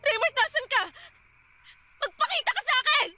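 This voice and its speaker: A woman's voice: extremely high pitch, moderate pace, sharp tone, faint music behind, exaggerated voice. A woman acting a frightened, defiant young woman stalked at home, in a Filipino radio drama. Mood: terrified, defiant.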